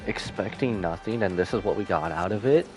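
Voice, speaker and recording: A man's voice: low voice, brisk, a little mellow, faint music behind, low-quality recording. A man giving casual, slangy live reaction commentary as a game streamer. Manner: slangy, informal.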